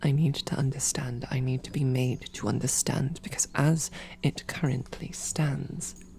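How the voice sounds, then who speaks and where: A woman playing a composed, sarcastic female royal knight who speaks formally and often softly, close to a whisper, in an audio roleplay. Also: very deep voice, fairly even intonation, unhurried, creaky, exaggerated voice. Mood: hurt, pleading.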